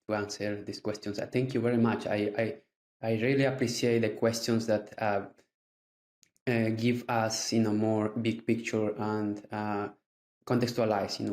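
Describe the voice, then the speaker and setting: A man from Peru with a Peruvian Spanish accent and Quechua as his first language, speaking haltingly as a podcast guest. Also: lowish voice, little pitch movement, darkish tone, reverberant. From southern highland Andes of Peru. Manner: halting, many fillers. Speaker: guest historian. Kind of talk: podcast interview.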